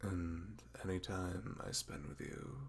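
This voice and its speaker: A man acting a low-voiced, dorky smitten best friend. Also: vocal fry, a little hiss or hum, full character voice. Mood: tender, vulnerable. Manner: halting, hesitant, rambling and awkward. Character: dorky, smitten young male best friend, shyly confessing love. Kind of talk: intimate ASMR roleplay audio.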